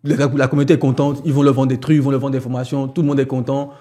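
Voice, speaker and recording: A man hosting a business podcast, talking in a relaxed, slangy way. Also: medium pitch, rapid-fire. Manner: relaxed, slangy, frequent fillers. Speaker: podcast host, business content creator. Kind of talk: casual podcast conversation.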